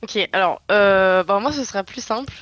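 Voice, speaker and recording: A woman's voice: medium pitch, normal speed, a bit bright, highs cut off. A woman player hesitantly presenting her tabletop game character, with many fillers.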